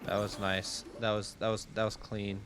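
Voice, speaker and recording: A man's voice: fairly low pitch, flat delivery, normal speed. A man doing casual, slangy live commentary as he streams a video game, with wry interjections. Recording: loud game music behind. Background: loud video game music.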